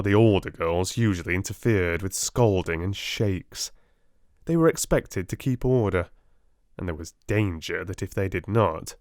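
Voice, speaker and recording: Deep, soft-spoken British male narrator. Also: no room sound, clean studio sound. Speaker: audiobook narrator.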